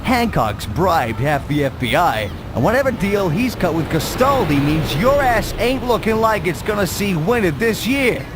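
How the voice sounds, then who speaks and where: An actor playing a worried, streetwise police handler in a video game, blunt and urgent, with an American accent. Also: male voice, sits high, sing-song, moderate pace, hardly any pauses. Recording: noisy outdoor urban cutscene with vehicles.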